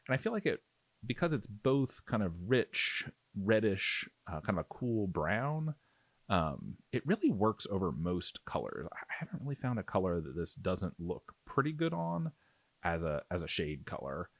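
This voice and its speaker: A man's voice: fairly low pitch, big pitch swings, moderate pace, gravelly, no echo. A man giving a casual tutorial voice-over as a hobby painting instructor, explaining in a conversational, enthusiastic way.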